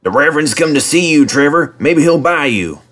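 A man's voice: a little high, animated, a little fast, fairly bright tone, pitched above the performer's own voice. A man playing a cheerful, encouraging engine driver in a storybook, with a hearty manner.

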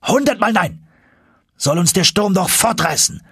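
A man voicing a stubborn, hot-tempered uncle, a reckless professor, shouting vehemently in an audiobook. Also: sits high, animated, unhurried, slightly rough, no echo, studio quality, voice pushed up, heavily acted. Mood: furious, defiant. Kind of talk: dramatic audiobook character acting.

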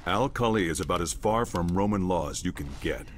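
A man's voice: low voice, expressive, brisk, a little hiss or hum. An actor playing a bookish, easygoing soldier-historian in a video game, musing casually as he works things out. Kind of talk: video game cutscene acting.

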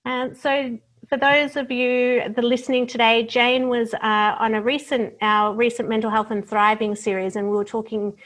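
A woman's voice: on the high side, flat delivery, normal speed, a bit bright, poor audio. A woman speaking as a podcast host, introducing and interviewing a guest.

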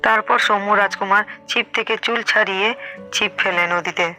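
A woman's voice: medium pitch, steady tone, moderate pace, sharp tone, soft background music. A woman narrating a fairy-tale cartoon in a scripted storytelling style.

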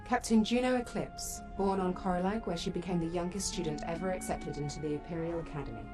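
Low-pitched poised young military pilot woman acting. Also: fast talker, over music, natural voice, rough recording. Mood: formal. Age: young adult. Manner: crisp, formal, composed. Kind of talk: video game cutscene acting.

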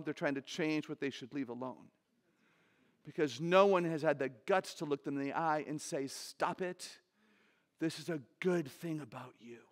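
Woman in her sixties, low voice, animated humorous speaker, large stage, headset mic. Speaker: conference speaker.

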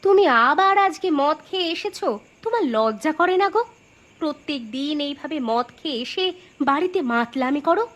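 Woman acting an aggrieved wife, high-pitched. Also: lively intonation, brisk, slight background noise. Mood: indignant. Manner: emotional, melodramatic protesting. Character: aggrieved, defiant wife of a drunkard. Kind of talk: melodramatic cartoon dubbing. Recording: music behind the voice.

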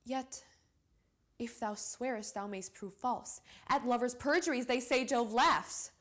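A young woman performing a bashful, lovestruck young noblewoman in a classical Shakespearean stage monologue, with deliberate pauses. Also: fairly high pitch, fairly bright tone. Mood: pleading. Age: young adult. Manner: deliberate, measured verse delivery with dramatic pauses.

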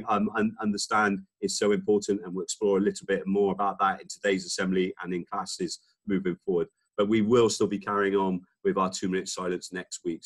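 A man in his forties, a head teacher, opening a school assembly over a video call in an upbeat, teacherly way. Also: fairly low pitch. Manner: warm, upbeat, teacherly, some hesitations. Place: school office, indoors. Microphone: computer webcam on video call.

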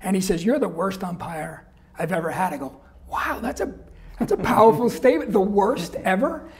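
An older man with a New York accent tells stories as an interview guest. He is animated, acts out the people he quotes, and laughs often. He is seated in a small studio. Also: sits high, moderate pace, gravelly. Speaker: guest, guitar company rep. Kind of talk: casual storytelling interview. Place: small studio set with backdrop.

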